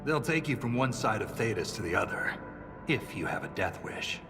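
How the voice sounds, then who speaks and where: An actor playing a battle-weary dwarven lieutenant in a video game, with a dry, blunt, sardonic delivery. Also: male voice, lowish voice, very animated, moderate pace. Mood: wry. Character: wry, battle-weary male dwarven lieutenant, veteran soldier. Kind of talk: video game cutscene acting. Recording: long cavernous reverb, music underneath.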